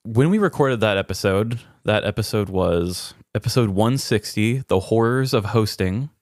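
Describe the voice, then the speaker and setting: A man's voice: on the low side, animated, moderate pace, darkish tone, no echo, studio quality. A man co-hosting a podcast, talking in an earnest, conversational way and searching a little for his words. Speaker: podcast co-host. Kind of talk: personal, conversational podcast talk.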